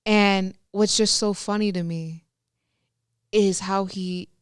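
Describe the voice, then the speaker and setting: A woman's voice: mid-range voice, steady tone, takes it slowly, smooth, no room sound, clean studio sound. A young woman co-hosting a faith podcast, chatting casually in a rambling, self-interrupting way. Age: young adult. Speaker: podcast co-host. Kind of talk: casual chat.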